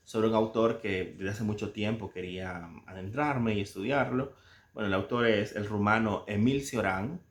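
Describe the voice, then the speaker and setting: A man from El Salvador with a Salvadoran Spanish accent, talking casually and reflectively about a book he loves, searching for words with soft chuckles. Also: on the low side, echoey room. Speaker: book reviewer. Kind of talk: casual spoken book commentary.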